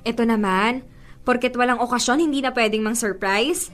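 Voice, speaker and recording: A woman's voice: fairly high pitch, moderate pace, fairly bright tone, faint music behind, natural voice. An actress playing a devoted, coaxing young girlfriend in a Filipino radio drama, with a Filipino accent. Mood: playful, teasing. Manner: emotive, coaxing, teasing. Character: pleading, playful young girlfriend, devoted and sweet.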